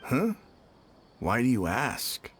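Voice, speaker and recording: Defensive, lively-pitched gate guard. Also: male voice, slow, a little hiss or hum. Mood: wary. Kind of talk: video game voice acting. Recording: game music under the voice. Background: background music.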